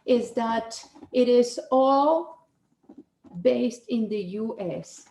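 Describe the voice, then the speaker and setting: A woman's voice: a little high, very slow, gravelly, mellow, a little hiss or hum. A woman with a Spanish accent in a casual conversation, rambling and restarting, often tagging "right?" onto her sentences. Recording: echoey room.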